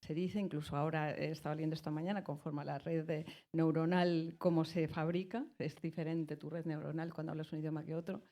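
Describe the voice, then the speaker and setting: A woman from Spain, speaking as a musician on a public panel, thoughtful and halting with many restarts. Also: deep voice, level tone, mellow. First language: Spanish.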